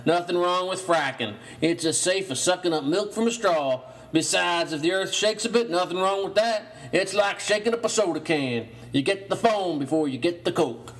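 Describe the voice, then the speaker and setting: A man's voice: high voice, moderate pace, few pauses, a bit bright, pitched above the performer's own voice. A man voicing a folksy, boastful oil-drilling uncle with a chummy, breezy manner in an audio story. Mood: breezy, dismissive. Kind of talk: audio horror story character voice.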